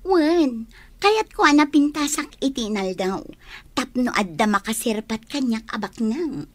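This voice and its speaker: A woman's voice: fairly high pitch, lively intonation, unhurried. An actress playing a vain, haughty young woman with an Ilocano accent in a radio drama, proud and snappish. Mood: dreamy, boastful. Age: young adult. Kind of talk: Filipino radio drama acting.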